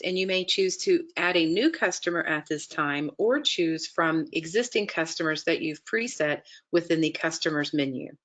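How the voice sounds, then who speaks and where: A woman narrating an instructional software demonstration in a methodical, explanatory way, step by step. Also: lowish voice. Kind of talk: instructional screen-share walkthrough narration.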